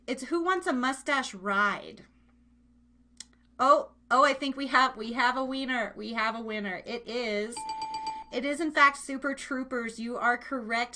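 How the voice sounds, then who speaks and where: A woman's voice: fairly high pitch, bright, forceful tone. A woman hosting a live internet radio show, chatting casually in a playful, rambling way and repeating her phrases as she runs games.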